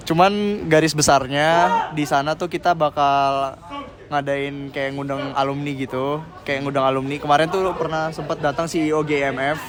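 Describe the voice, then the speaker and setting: A man's voice: fairly high pitch, flat delivery, moderate pace, crowd noise. Young man rambling in slangy casual talk. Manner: rambling, slangy, heavy with fillers. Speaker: senior member being interviewed. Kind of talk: casual interview answers.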